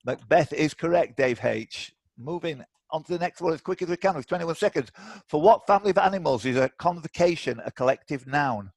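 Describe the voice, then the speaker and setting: A man's voice: fairly high pitch, lively intonation, brisk, creaky, few pauses, a little mellow, dry recording. A man hosting a live online quiz off the cuff, with chatty, teasing banter. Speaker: quiz host. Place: indoors, online video call.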